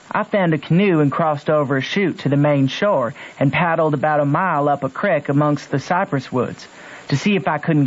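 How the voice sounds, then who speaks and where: A man playing a rustic American boy with a Southern accent, telling his adventures in plain country dialect for a radio drama. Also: fairly high pitch. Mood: urgent recounting. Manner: folksy, plain-spoken storytelling in country dialect. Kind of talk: old-time radio drama narration. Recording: dull old radio recording with music.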